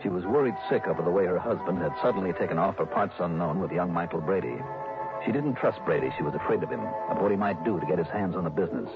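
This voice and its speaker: Wry, gravelly radio private eye. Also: male voice, low voice, quick pace. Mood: wry narration. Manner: wry, colloquial. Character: wry, skeptical male private investigator. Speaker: lead actor and narrator of a detective serial. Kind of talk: 1960s radio drama acting. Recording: dull old radio broadcast, music behind.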